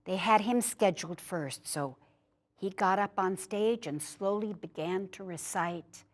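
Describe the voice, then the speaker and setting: A woman reading a personal childhood memoir aloud in a measured storytelling way. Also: mid-range voice. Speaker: story reader.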